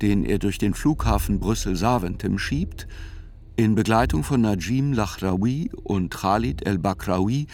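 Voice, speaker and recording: An actor narrating as a measured, dryly ironic chronicler of a trial in a literary radio play. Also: male voice, on the low side, darkish tone. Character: probing, wry male chronicler of a trial.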